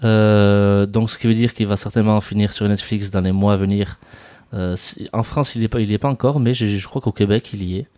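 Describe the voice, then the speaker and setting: Low, mellow man chatting with many fillers. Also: steady tone, brisk, no echo. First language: Basque speaker. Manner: hesitant, many fillers and restarts. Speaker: podcast host. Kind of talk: casual film discussion.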